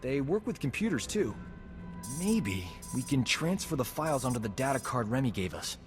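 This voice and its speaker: An actor playing a cocky, flirtatious rogue doctor in a video game, with a breezy, self-assured manner. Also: male voice, mid-range voice, sing-song, moderate pace, close to an everyday voice. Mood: breezy, flirty. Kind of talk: video game cutscene acting. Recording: game music behind the voice.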